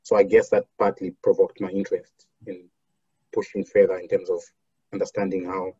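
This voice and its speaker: Husky man from zambia, lots of ums, dry muffled sound. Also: low voice, little pitch movement, mellow, no echo. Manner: measured, with many fillers and restarts. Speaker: foundation program officer. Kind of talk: interview answers.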